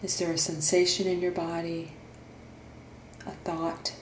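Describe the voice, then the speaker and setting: A woman guiding a meditation in a soothing, gentle instructional manner, leaving long pauses between phrases. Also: on the low side, flat delivery. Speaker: meditation guide and therapist. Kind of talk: guided meditation narration. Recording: roomy echo, faint background noise.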